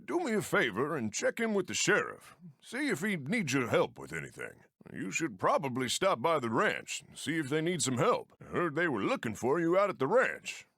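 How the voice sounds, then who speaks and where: An actor playing a friendly Old West steam train engineer in a video game, with an American accent and folksy frontier phrasing. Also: male voice, fairly high pitch, huge pitch swings, normal speed, creaky, fairly bright tone, slightly rough, no room sound, studio quality, full character voice. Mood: helpful. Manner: folksy, colloquial drawl of the frontier. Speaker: mission-giver game character. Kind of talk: animated video game voice acting.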